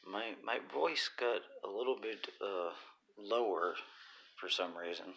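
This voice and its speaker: A raspy, gravelly man talks at a slow, unhurried pace on his own stream. His voice sits a little low, and he stops often with fillers and restarts. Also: sharp tone. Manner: halting, many fillers and restarts. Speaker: YouTuber. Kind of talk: casual livestream talk.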